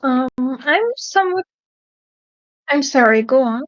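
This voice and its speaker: A woman's voice: high-pitched, lively intonation, a little slow, no echo. A woman with a Persian accent, a native Persian speaker, asking a question in English in a hesitant, polite and apologetic way. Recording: muffled, dry lo-fi audio.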